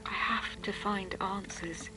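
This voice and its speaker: An actress playing a frightened but determined woman in a horror game, hushed and halting as she questions herself. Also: mid-range voice, steady tone, over music, natural voice, low-quality recording. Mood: brooding, hushed. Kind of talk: dramatic video game acting with introspective monologue.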